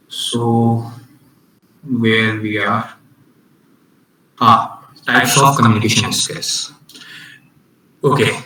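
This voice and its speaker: Slow, halting man on a video call. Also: mid-range voice, pushed and bright, reverberant, far from the mic, a little hiss or hum, rough recording. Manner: slow, halting, many restarts and fillers. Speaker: club orientation presenter. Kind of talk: online talk on communication skills.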